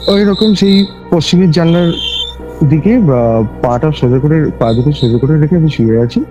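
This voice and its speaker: A man tells a personal story conversationally, haltingly, often repeating his phrases. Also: a little high, mellow, low-quality recording. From West Bengal, India. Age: 20s. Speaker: guest recounting a personal ghost experience. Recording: music bed with cricket and insect sounds.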